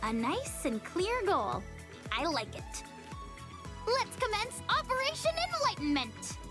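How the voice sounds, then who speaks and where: A woman's voice: very high voice, very animated, a little slow, over loud music, exaggerated voice. A woman playing an eager, playful, game-loving young heroine in a video game, perky and inquisitive with theatrical phrasing. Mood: excited. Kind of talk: video game cutscene acting.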